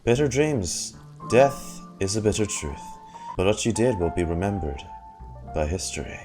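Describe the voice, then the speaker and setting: A man's voice: low-pitched, animated, moderate pace. A man giving casual reaction commentary on a game, playfully thinking aloud and sometimes swearing. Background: game music.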